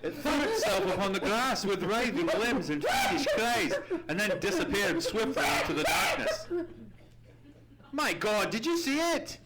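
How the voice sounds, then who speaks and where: A man playing an earnest gentleman sidekick who narrates a mystery with theatrical, deliberate storytelling in an old-time radio play. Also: high-pitched, wildly swooping pitch, exaggerated voice. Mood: alarmed. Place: theatre stage. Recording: humming, noisy live theatre recording.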